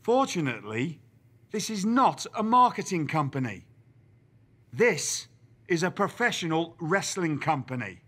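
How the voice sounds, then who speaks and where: An actor playing a male wrestling general manager who speaks in a dry, deliberate, formal way. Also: a little high, very animated, a bit bright, full character voice. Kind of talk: video game cutscene acting.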